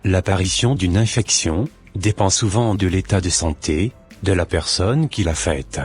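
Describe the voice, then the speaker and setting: Man doing a cartoon doctor, middle-aged, preachy, deep, husky, soft background music. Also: measured pace. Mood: lecturing. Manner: calm, lecturing and preachy. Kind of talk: amateur cartoon voice acting.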